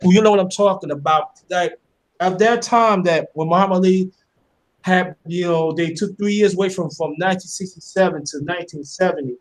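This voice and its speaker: A man's voice: high voice, a little fast, poor audio. A man in his fifties hosting a casual interview, talking earnestly and often repeating words as he starts a thought. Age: 50s. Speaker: channel host interviewing a guest.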